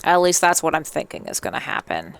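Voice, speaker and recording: A woman's voice: deep voice, big pitch swings, brisk, vocal fry, a bit bright, clean tone. A woman hosting a let's play with casual, playful commentary, chatting and reacting aloud.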